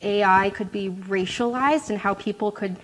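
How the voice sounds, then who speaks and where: A woman's voice: mid-range voice, moderate pace, low-quality recording. A woman answering audience questions after a talk, casual and hesitant, with many fillers and restarts.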